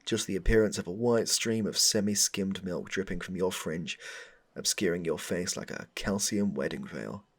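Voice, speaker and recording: A man playing a smitten, rambling suitor with a shady air in a comedic audio roleplay, stammering and overdramatic as he speaks to the listener. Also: moderate pace. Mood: smitten, earnest. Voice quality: low, gravelly, husky. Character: smitten, rambling, darkly suspicious man meeting his crush.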